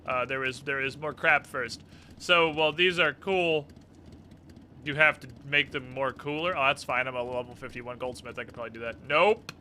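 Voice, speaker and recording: Bright-voiced man streaming a game, sweary. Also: mid-range voice, moderate pace, slight background noise. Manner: sweary, sarcastic. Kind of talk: live game stream, improvised dialogue reading.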